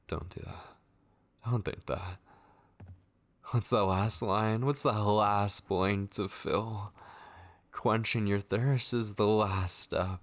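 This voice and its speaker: A man acting an obsessive, possessive kidnapper in an ASMR horror roleplay, brooding and intense, with a breathy, gravelly voice that often drops into a whisper. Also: sits low, full character voice. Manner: slow, intense, brooding delivery with drawn-out pauses. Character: obsessive, possessive male yandere kidnapper, menacing yet tender.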